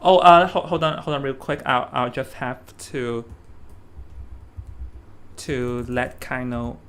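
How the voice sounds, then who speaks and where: A young man from Hong Kong with a Hong Kong accent, chatting casually as an esports commentator, animated and stumbling over restarts. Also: mid-range voice, takes it slowly. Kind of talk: casual stream commentary chat. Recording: faint background noise.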